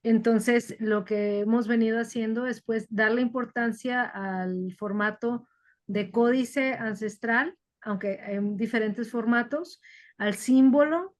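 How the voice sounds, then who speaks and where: A woman's voice: fairly high pitch, slow. Woman presenting in a video call. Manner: thoughtful, hesitant with fillers and restarts. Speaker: workshop presenter. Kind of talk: online workshop talk, switching to English.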